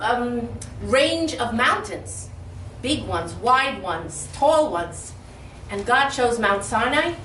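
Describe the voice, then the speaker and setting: A woman from Detroit giving a lively, humorous speech at a community event, heard from far off in a hall. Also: on the high side. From Detroit, Michigan. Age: older. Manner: lively, humorous, speaks from the heart. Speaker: guest speaker. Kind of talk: public speech. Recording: echoey hall from far off, faint noise.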